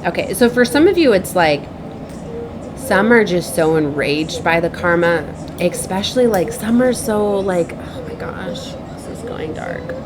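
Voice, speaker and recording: A woman giving an improvised spiritual message as a tarot reader, speaking in a halting, repetitive, earnest and cautioning way. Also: fairly low pitch, expressive, background noise. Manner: halting, repeats herself, circles back.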